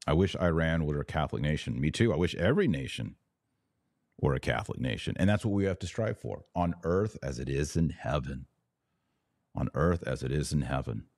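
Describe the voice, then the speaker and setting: A man's voice: rumbling low, lively intonation, brisk, a little mellow, no echo, studio quality. A man hosting a live religious commentary stream, reading out comments and answering them in an emphatic, preachy way with short repeated declarations. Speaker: podcast host.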